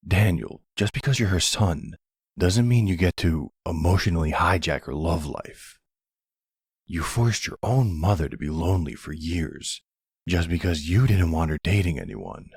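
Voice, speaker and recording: Casting: male actor as middle-aged mob boss, commanding and tender, gravelly fry, close dry sound, ASMR roleplay. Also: low voice, animated, no room sound, exaggerated voice. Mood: firm. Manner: measured, menacing scolding that turns soothing. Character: older mafia boss, silver fox, stern yet tender. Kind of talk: ASMR audio roleplay acting.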